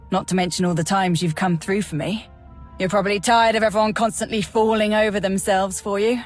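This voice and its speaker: An actress playing a loyal, frank Imperial major in a video game, speaking earnestly with military formality. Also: female voice, medium pitch, natural voice. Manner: earnest, crisp military address. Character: dutiful, sincere female Imperial army major, loyal and frank. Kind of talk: dramatic video game acting.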